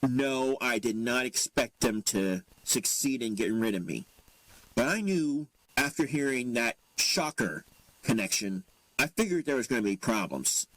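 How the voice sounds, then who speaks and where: A man playing a composed, self-assured superhero leader who talks in a measured, dryly smug way. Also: medium pitch, lively intonation, no room sound, pitched below the performer's own voice, low-quality recording. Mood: dry, defensive. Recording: loud music over the voice.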